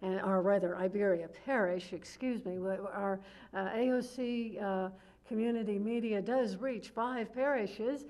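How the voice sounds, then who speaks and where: A woman's voice: medium pitch, measured pace, darkish tone. A woman hosting a community television interview show, welcoming her guest in a friendly, hesitant way with many uhs. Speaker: talk show host.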